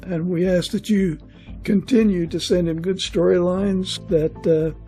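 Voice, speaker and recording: High-pitched, slow-talking man, mellow and earnest. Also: steady tone, faint music behind. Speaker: podcast host. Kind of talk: spoken prayer closing an interview.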